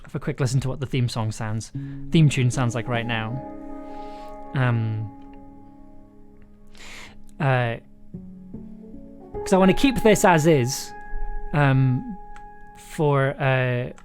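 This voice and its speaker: A man with a raspy, throaty voice, talking casually and rambling through a livestream while producing an audio drama. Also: medium pitch, slow pace, pauses often. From United Kingdom. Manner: rambling, many restarts and fillers. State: raspy, throaty voice after illness. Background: music.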